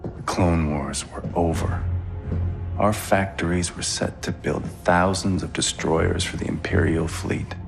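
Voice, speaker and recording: Deep, gravelly, vengeful crime lord. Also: male voice, fairly even intonation. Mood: bitter menace. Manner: slow, deliberate, menacing. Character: bitter vengeful crime lord brother, smug, coldly sardonic. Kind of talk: cinematic game acting. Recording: dark music under the voice.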